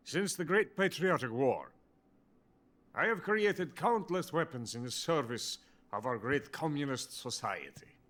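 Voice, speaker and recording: An actor playing a drunk, boastful older Soviet weapons scientist in a video game cutscene. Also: male voice, mid-range voice, a bit bright. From Soviet Union. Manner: pompous, self-important, bitter.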